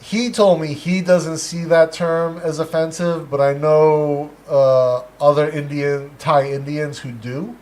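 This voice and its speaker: A man with an American accent hosting a casual livestream, explaining his views in a reflective, measured way. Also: a little high, steady tone, a little mellow. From United States. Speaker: livestream host.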